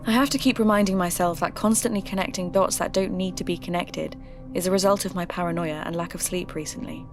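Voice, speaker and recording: Folklore podcast host female actor: slightly low voice, reflective, earnest scripted narration, confiding delivery. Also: fast talker, music in the background, lightly acted. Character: earnest, curious woman hosting a folklore investigation podcast.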